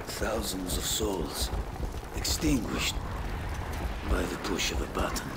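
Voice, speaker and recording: Haunted Russian ex-soldier. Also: male voice, low voice, expressive, reverberant, very noisy. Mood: haunted regret. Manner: slow, grave narration. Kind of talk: dramatic video game narration.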